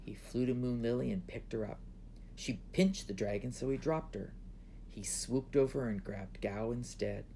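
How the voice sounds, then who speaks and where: A man's voice: mid-range voice, steady tone, normal speed, creaky, dark tone, slight background noise. A man reading his own children's book aloud as a storybook narrator.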